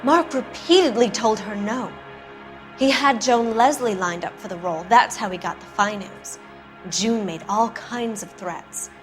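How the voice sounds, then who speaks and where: A flustered producer's wife, played by a woman, soft-spoken and guarded under questioning. Faint music plays behind her in this crime game cutscene. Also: a little high, a little slow, close to an everyday voice. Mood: defensive. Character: shaken, evasive wife of a movie producer. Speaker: witness questioned by police. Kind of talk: 1940s-style game cutscene acting.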